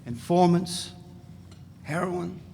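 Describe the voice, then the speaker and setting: A man voices a blunt, boastful ex-radical fugitive at a live reading. His voice is gravelly and sits a little high. The room rings with a long echo, and there is a faint hiss underneath. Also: slow, mellow, exaggerated voice. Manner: blunt, provocative storytelling. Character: brash male ex-radical fugitive, boastful, crude. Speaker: reader in a dramatic reading. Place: event space.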